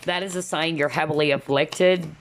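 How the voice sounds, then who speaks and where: A woman's voice: sits low, steady tone, normal speed. A woman giving a casual tarot reading to viewers, chatty and full of asides, her voice slightly nasal as she loses it. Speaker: tarot reader.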